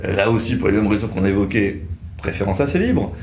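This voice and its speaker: A man's voice: on the low side, sing-song, brisk, vocal fry, a little mellow, slight background noise. A man co-presenting a legal explainer in a methodical way with formal phrasing. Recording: echoey room.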